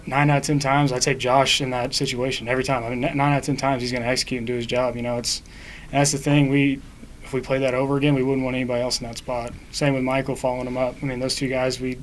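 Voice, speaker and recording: A man's voice: medium pitch, little pitch movement, quick pace, slight background noise, poor audio. A man in his twenties, a college baseball player, giving matter-of-fact answers at a postgame press conference. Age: 20s. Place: indoor press room.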